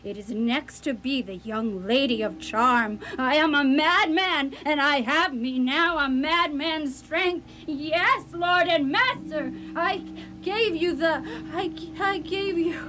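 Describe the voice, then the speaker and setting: A woman playing a feverish, mad, dying asylum inmate in a radio play, halting as she declaims devotion to a vampire master. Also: sits high, bright, forceful tone, slight background noise, exaggerated voice. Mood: frenzied, rapturous. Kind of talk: radio theatre acting. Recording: radio play over music bed.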